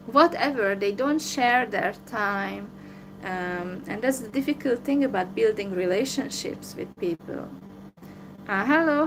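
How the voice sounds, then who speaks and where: A woman's voice: medium pitch, background noise. A woman with a Hungarian accent, talking alone to her viewers in a downcast, hesitant way with many fillers. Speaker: vlogger. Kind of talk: solo livestream monologue.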